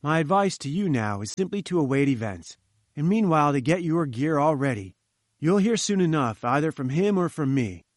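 Male reader playing a brisk, knowing young pressman; bright, high and eager. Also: sing-song, no room sound, clean studio sound.

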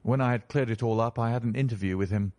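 A man reading a story, voicing a stern, calm male detective in a low, measured, authoritative tone. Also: no room sound, lightly acted.

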